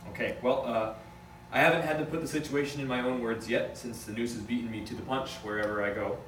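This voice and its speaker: A man playing a wry, rattled young rich businessman in a table read, with a halting, matter-of-fact delivery. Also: mid-range voice, steady tone, natural voice. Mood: uneasy, explaining. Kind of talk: amateur script table read. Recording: echoey room, distant mic, faint noise.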